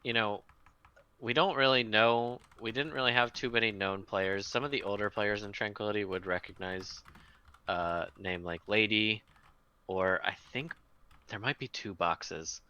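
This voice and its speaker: Deep-voiced man on a dull mic. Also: fairly even intonation, a little slow, pushed and bright, smooth. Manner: thoughtful, talks through his picks. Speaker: podcast co-host. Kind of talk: casual esports analysis.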